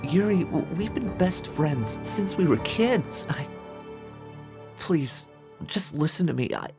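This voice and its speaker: A man's voice: on the high side, animated, slow pace, music in the background. A man playing an anxious, earnest young male friend who pleads and stammers in a fantasy audio drama. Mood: pleading.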